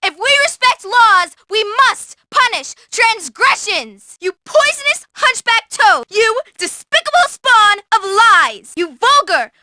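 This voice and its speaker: A woman's voice: very high-pitched, big pitch swings, slow, hardly any pauses, bright, forceful tone, exaggerated voice. A woman playing a bookish teenage schoolgirl in a video game, verbose, haughty and theatrical. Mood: indignant, shrill. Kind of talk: exaggerated video game character acting.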